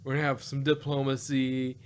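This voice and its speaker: A man co-hosting a casual review podcast, rambling along haltingly and often repeating words as he goes. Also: medium pitch, monotone, a little hiss or hum. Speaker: podcast co-host reviewing audio dramas.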